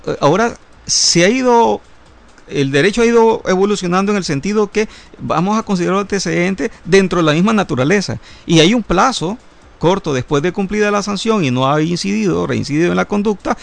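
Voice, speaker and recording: A man's voice: high voice, animated, fairly quick, creaky. A man who is a criminal lawyer, explaining legal points in a panel discussion with frequent hesitations and legal jargon.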